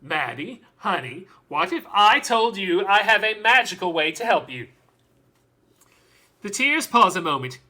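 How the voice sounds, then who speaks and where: A woman acts out a devoted, excitable dad in a stage monologue. The voice is bright and forceful, and the pace is unhurried, with dramatic pauses and stretched emphasis. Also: mid-range voice, exaggerated voice. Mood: fond, earnest. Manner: animated storytelling, dramatic pauses, drawn-out emphasis. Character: doting, excitable parent of a small girl, called Daddy.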